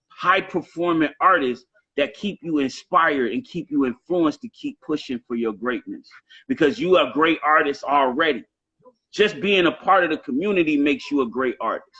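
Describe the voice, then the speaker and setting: A man's voice: on the high side, pushed and bright, husky, muffled recording. A man in his late thirties with a Southern US accent, talking casually and emphatically on a live stream. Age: 30s. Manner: emphatic, repetitive, heavy swearing. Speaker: rapper on a live show. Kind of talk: casual chat.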